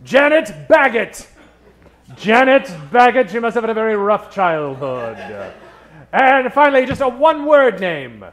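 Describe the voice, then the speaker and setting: Animated, high-pitched male stage MC. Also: measured pace. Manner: theatrical, mock-formal showman. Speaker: stage MC warming up audience. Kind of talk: improv comedy hosting in 1940s radio manner. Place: live on stage in a comedy theater.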